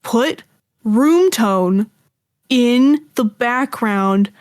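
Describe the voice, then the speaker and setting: A woman chatting casually as an interview guest, talking in a rambling, animated way. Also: fairly high pitch, extremely slow, clean tone. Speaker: guest, audio drama creator.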